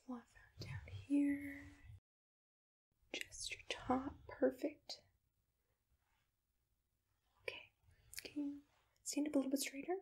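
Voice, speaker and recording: A woman whispering breathily in a soft ASMR roleplay, with a gentle, reassuring, attentive manner, as if giving personal attention to a client. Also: high-pitched, flat delivery, takes it slowly, pauses often. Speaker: ASMR roleplay host as a monster matchmaker.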